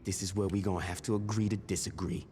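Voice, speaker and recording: A man's voice: sits low, little pitch movement, fast talker, warm tone, slight background noise, close to an everyday voice. A man playing a ruthless, defiant street gang boss in a video game cutscene, blunt, profane and threatening. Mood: sardonic menace.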